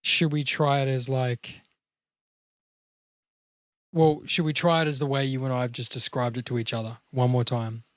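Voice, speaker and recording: A man's voice: medium pitch, flat delivery, moderate pace, long pauses, dry recording, studio quality. A man talking casually as a podcast host. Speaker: podcast host and amateur actor. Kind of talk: casual chat.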